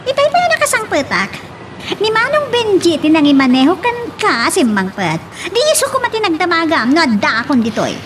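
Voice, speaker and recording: A woman acting a sassy, defiant rich young daughter in a Filipino radio drama, animated and pert, with a Filipino accent. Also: very high-pitched, fairly quick, background noise. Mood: taunting. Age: young adult.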